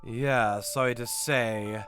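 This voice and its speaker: A male actor voicing a stern, brooding avenger who narrates a manga dub in a deliberate, dramatic way. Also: medium pitch, steady tone, music in the background, natural voice. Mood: grim, somber. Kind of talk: dramatic scripted first-person narration.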